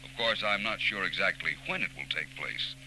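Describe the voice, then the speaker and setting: An actor playing a confident, urbane amateur detective, polite and assured, in a 1940s radio drama. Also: male voice, low voice, sharp tone. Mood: confident, knowing. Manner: assured, polite, unhurried deduction. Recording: noisy, humming old radio recording.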